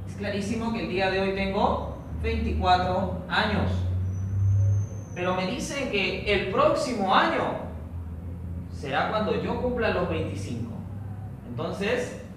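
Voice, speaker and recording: A man teaching a recorded online training lesson for teachers, explaining a logic problem slowly and step by step. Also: high voice. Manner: slow, methodical, explains step by step. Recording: echoey room, distant mic, noisy.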